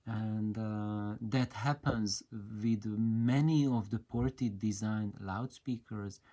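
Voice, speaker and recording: A man giving an unscripted technical explanation as a presenter, with a hesitant, halting delivery full of drawn-out fillers. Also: on the low side, steady tone, dark tone. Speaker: audio hobbyist presenter.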